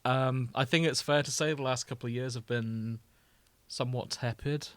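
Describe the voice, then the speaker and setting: Male podcast host, mid-pitched, casual banter among friends, dry close recording. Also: steady tone, moderate pace, a bit bright, no room sound.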